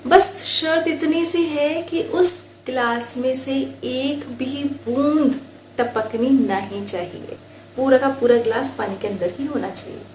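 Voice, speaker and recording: An Indian woman voicing a calm, wise male temple priest in a storybook tale, speaking politely and instructively. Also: high voice, slow. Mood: patient questioning. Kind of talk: storybook dialogue. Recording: roomy echo with faint background noise.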